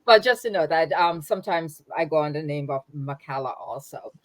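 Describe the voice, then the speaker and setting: A woman's voice: low-pitched, expressive, moderate pace, slight background noise. A woman in her fifties with a Jamaican accent, a nonprofit founder speaking in a conversational interview, reflective, with frequent fillers and restarts. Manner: warm, reflective, many fillers and restarts, laughs easily.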